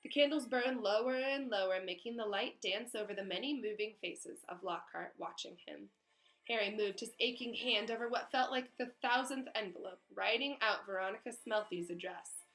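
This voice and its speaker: A woman's voice: medium pitch, moderate pace, fairly bright tone, poor audio. A woman reading a children's storybook aloud expressively, in the way of a teacher.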